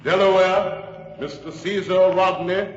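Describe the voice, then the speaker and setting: An actor playing a formal congressional clerk, calling out names ceremonially in 1940s radio drama style. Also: male voice, sits high, steady tone, takes it slowly. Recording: muffled old radio, echoing hall, noise.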